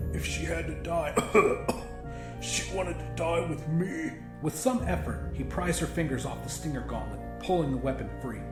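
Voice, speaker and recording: A man narrating horror fiction as an audiobook, in an intense, theatrical first-person style. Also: mid-range voice. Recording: roomy echo, music underneath. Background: music.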